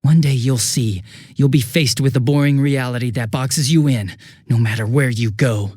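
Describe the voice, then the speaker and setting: Man voicing a cynical, husky young game villain. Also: medium pitch, normal speed, mellow, dry recording, lightly acted. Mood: bitter contempt. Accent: American. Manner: flippant, mocking, contemptuous drawl. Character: cynical, bitter, sneering young male villain turning unhinged. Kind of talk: video game voice acting.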